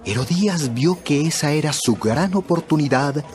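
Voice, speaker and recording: A man's voice: fairly high pitch, expressive, moderate pace, darkish tone, soft background music. A man narrating a dramatized Bible story from a script.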